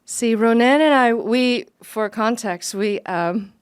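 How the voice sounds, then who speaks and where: A middle-aged woman from Taiwan telling personal stories conversationally as an interview guest, animated and chatty. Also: a little high, slow, smooth, no room sound, clean studio sound. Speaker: interview guest, business leader.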